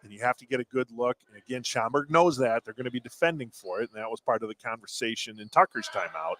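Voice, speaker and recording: A man's voice: medium pitch, expressive, fast, a bit bright, a little hiss or hum. An American man giving conversational color commentary and analysis during a basketball radio broadcast.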